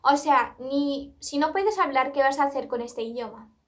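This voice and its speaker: A woman's voice: high voice, animated, moderate pace. A young woman from Turkey with a Turkish accent, a native Turkish speaker, talking casually to camera as a vlogger, chatty and earnest with frequent restarts.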